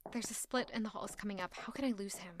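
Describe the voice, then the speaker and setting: A woman's voice: mid-range voice, quick pace, a little hiss or hum, close to an everyday voice. An actress playing a stubborn, guarded young servant woman in a castle audio drama, curt and sarcastic beneath formal politeness. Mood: tense narrating. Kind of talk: audio drama acting with first-person narration.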